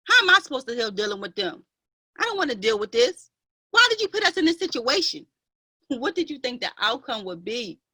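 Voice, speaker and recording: A woman's voice: on the high side, pushed and bright, dry recording. A woman playing a grieving, defiant younger sister, speaking with emotional indignation in an online dramatic play reading. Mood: grieving, hurt. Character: Joy, grieving, defiant younger half-sister standing her ground.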